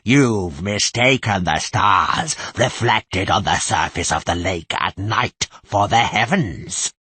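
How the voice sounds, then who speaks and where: A man voicing a cold, hostile, inhuman presence that speaks with a metallic sneer, slow and menacing. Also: expressive, sharp tone, slightly rough, dry recording, heavily acted. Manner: slow, drawn-out, booming threats.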